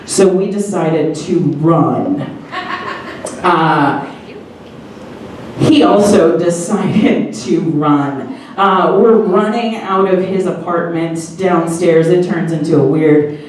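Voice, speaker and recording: A woman's voice: fairly low pitch, takes it slowly, darkish tone, a little hiss or hum. A woman telling a true story on stage with a wry, digressive, laughing manner and the odd swear. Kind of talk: live personal storytelling. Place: comedy theater stage. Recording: echoey theater from far off.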